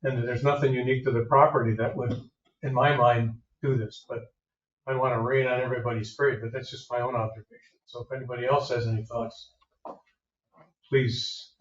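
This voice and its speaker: A man chairing a village zoning board meeting, thinking aloud in a measured, conversational way. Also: medium pitch, level tone, slight background noise. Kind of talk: public meeting discussion. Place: village hall meeting room. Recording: echoey room, distant muffled mic.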